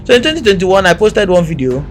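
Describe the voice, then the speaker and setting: A man from Nigeria with a Nigerian accent, a guest in a podcast interview who talks in a chatty, relaxed storytelling way. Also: sits high, fast talker, faint music behind. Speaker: guest content creator. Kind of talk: casual conversational interview. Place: podcast studio.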